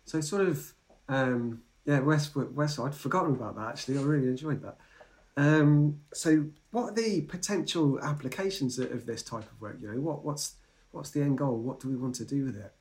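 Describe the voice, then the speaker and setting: A man's voice: mid-range voice, normal speed, darkish tone. A man hosts a podcast and puts questions to his guest. His voice sits in the middle range. He rambles a little and fills the gaps with ums and likes. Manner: informal, rambling questions with fillers. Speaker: podcast interviewer. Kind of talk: casual interview questions.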